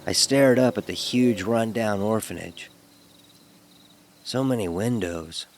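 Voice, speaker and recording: A man telling a first-person horror story in a dramatic, expressive narrating style. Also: lowish voice, slow pace, a little hiss or hum. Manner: expressive, acts out dialogue.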